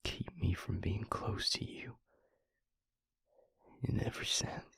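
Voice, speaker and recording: A man playing a tender, earnest vampire lover in an intimate romantic audio roleplay, speaking haltingly and plainly in a breathy, gravelly near-whisper. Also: a bit bright, dry recording. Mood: earnest, longing. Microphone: close microphone in a dry room.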